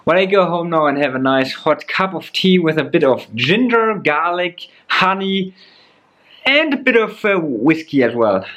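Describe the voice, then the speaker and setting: A German man in his forties with a hoarse voice, talking casually to camera in a vlog about renovating his house. He rambles and trails off with hesitations, recorded on a camera's built-in mic indoors. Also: fairly high pitch, animated, a little slow, a bit bright. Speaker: DIY renovation vlogger.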